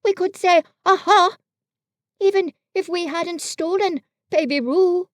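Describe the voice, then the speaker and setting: A woman voicing a humble, slow-witted male teddy bear in a storybook reading, speaking slowly and softly. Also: very high-pitched, no echo, studio quality, pitched above the performer's own voice. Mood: pleased. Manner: slow, soft and gentle.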